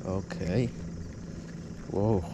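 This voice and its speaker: A man filming himself fishing from a kayak outdoors on a river, giving casual commentary with excited exclamations and talking to the fish he catches. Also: sits low, extremely slow, muffled recording. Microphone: head-mounted action camera. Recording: loud outdoor water and wind noise.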